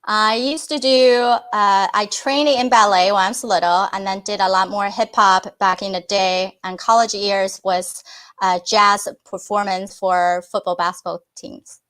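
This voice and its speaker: A woman's voice: mid-range voice, fairly even intonation, unhurried, a bit bright. A woman whose first language is Chinese, answering interview questions earnestly, with false starts and fillers. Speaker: podcast guest.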